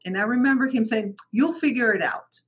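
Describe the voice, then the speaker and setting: A woman's voice: on the high side, fairly quick, breathy edge. A middle-aged woman from Mexico whose first language is Spanish, telling her story as an interview guest, often restarting and repeating words. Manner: frequent restarts and repeated words, animated quoting of others. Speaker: guest, translation company founder.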